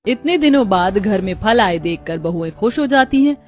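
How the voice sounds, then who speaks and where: A woman's voice: medium pitch, expressive, normal speed. A woman storyteller reading scripted narration for a moral tale.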